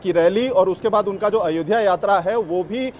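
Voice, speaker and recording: A man giving a live political report as a news correspondent, somewhat halting as he searches for words. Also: high voice, slight background noise.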